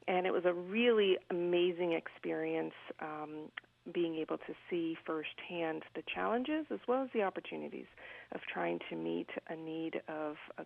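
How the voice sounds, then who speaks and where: A woman's voice: on the low side, creaky. A woman from Colombia, a business guest speaking earnestly and articulately in an interview, with frequent ums. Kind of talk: interview conversation. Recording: phone-like narrow radio sound.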